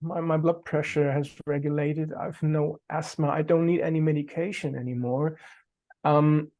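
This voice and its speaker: A man's voice: fairly high pitch, level tone, normal speed, darkish tone, lo-fi sound. A man with a German accent, a native German speaker, talking earnestly as a qigong teacher on a video call, sometimes searching for words.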